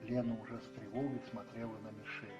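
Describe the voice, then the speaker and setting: A man narrating a radio play as scripted, measured storytelling. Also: fairly low pitch, level tone, roomy sound, far from the mic, dull audio, music in the background.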